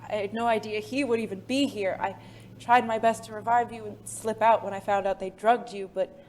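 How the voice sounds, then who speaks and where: A woman playing a refined, secretive former spy in an audio drama, speaking formally and haltingly, with endearments. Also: medium pitch, fairly even intonation, a little mellow, a little hiss or hum, lightly acted. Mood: tender, anxious.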